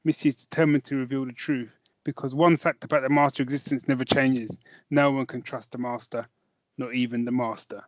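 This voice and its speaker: A man's voice: medium pitch, steady tone, fairly quick, no room sound. A man co-hosting a review podcast in a casual chatting style. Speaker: podcast co-host. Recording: dull, dry podcast audio.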